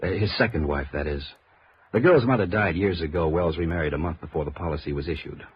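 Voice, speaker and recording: A man's voice: low-pitched, quick pace, close to an everyday voice. A man playing an earnest, hard-working middle-aged American claims adjuster in a 1950s radio drama. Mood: concerned briefing. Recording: dull, noisy old radio recording.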